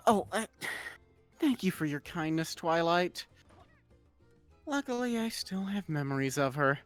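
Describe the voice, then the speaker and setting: A man's voice: fairly high pitch, animated, unhurried, soft background music. A man voicing a self-pitying, melodramatic female pony with theatrical delivery. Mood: gracious, modest.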